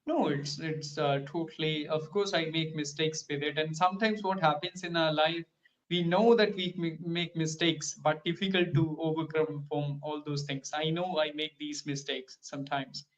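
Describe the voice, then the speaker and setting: Flat-voiced man, Hindi native speaker. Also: a little high, moderate pace, rough recording. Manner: hesitant, fillers like "you know". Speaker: learner in a tutoring session. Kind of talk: conversational practice.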